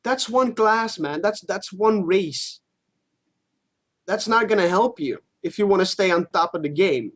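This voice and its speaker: A man's voice: high voice, lively intonation, a little slow. A man co-hosting a gaming show, chatting casually and giving blunt opinions with some casual swearing.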